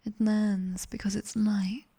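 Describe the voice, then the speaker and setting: A British woman playing a sharp-tongued, teasing demoness tutor in a soft, whispered ASMR roleplay. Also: mid-range voice, level tone, breathy edge. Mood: teasing, instructing. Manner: slow, hushed, teasing and bossy.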